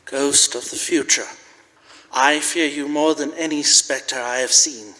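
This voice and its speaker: A man's voice: a little high, steady tone, a little slow, sharp tone. A man playing a frightened, repentant old miser in a live radio play, earnest, pleading and theatrical. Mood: fearful, humble. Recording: live in reverberant church.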